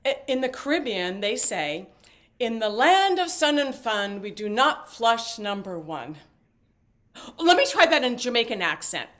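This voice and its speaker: A woman acting an eccentric, evasive mother in a stage play. Her voice sits fairly high, and she rambles along with flippant jokes, theatrical flourishes and stammered asides. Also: very animated, measured pace, a bit bright. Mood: exuberant.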